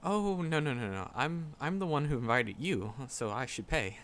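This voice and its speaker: A man's voice: fairly high pitch, animated, normal speed, a bit bright. A man playing a shy, nervous magic professor in a roleplay audio drama, skittish and earnest, stammering and repeating words as he talks to the listener. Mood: flustered.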